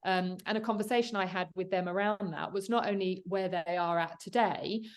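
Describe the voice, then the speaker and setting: A woman's voice: lowish voice, steady tone, fairly quick. A woman commercial solicitor, a guest on the show, explaining in an earnest, conversational way with frequent fillers and restarts. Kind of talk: conversational interview.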